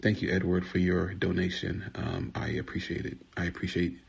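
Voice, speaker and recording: A man hosting a podcast, speaking casually in a halting, hesitant way. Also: deep voice, fairly even intonation, creaky, breathy edge. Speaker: podcast host. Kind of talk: casual thanks to donors.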